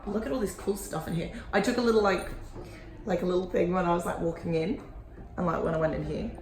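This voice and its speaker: A woman's voice: on the low side, fairly even intonation, quick pace, a little mellow. A woman chatting casually at a massage clinic, with lots of "like" fillers. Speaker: vlogger. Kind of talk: casual vlog chat. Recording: echoey clinic room.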